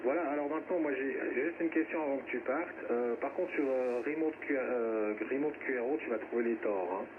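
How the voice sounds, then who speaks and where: A man's voice: mid-range voice, brisk, few pauses. A man chatting casually as an amateur radio operator over a radio link, often repeating himself and adding tag words. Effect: radio transmission. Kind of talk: casual radio contact chat. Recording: narrow, very noisy shortwave radio reception.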